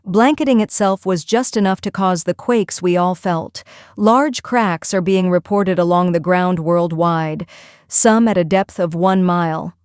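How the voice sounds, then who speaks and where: A woman acts out a composed government geologist in an audiobook drama. The voice is smooth, mid-pitched and dry, with a formal, explanatory delivery. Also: a little mellow, no echo, close to an everyday voice. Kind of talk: audiobook acting, expert briefing.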